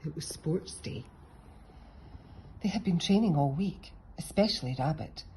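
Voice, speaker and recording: A woman's voice: low voice, animated, unhurried, a little mellow, breathy edge. A woman narrating a children's picture book as a storybook reader.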